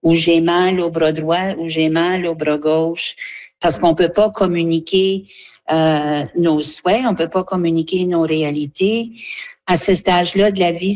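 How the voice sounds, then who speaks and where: A woman's voice: fairly low pitch, a little mellow. A woman, explaining earnestly as an association representative in a spontaneous interview.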